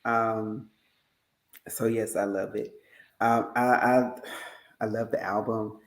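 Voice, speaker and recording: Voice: mid-range voice, level tone, slow. Podcast host doing a casual interview, really effusive, talks right to listeners, roomy echoey sound. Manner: effusive, addresses listeners directly.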